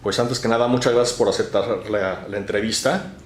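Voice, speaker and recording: A man hosting an interview, asking polite, measured questions. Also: lowish voice, fairly even intonation, slight background noise. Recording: roomy echo.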